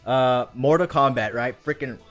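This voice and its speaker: A male live stream host talks in a mid-pitched voice. His delivery is informal, slangy and playful, and faint music plays behind him. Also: normal speed, fairly bright tone. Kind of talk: casual live stream chat.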